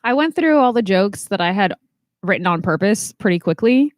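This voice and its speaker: A woman's voice: medium pitch, animated, moderate pace, no room sound, studio quality. A woman co-hosting a comedy podcast, with a nasal voice, giggling often and joking at her own expense. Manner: giggly, self-deprecating. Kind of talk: casual chat.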